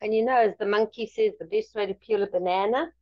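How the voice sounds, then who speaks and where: A woman's voice: mid-range voice, quick pace. A woman chatting casually as a helper at a cooking demonstration. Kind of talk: casual chat. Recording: dull lo-fi room sound.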